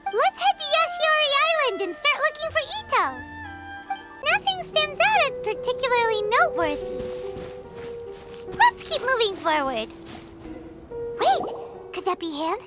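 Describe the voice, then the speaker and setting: A tiny fairy companion, very high squeaky voice, bouncy, chirpy, loud game music. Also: female voice, wildly swooping pitch, slow, long pauses, full character voice. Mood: eager. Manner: chirpy, cheeky, refers to herself by name. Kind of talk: video game voice acting.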